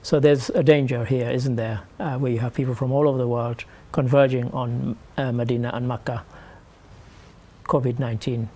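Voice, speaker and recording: A man's voice: mid-range voice, level tone, moderate pace, warm tone. A man hosting a studio news review, talking in a thoughtful, hesitant way with many fillers. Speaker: host. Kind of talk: studio discussion.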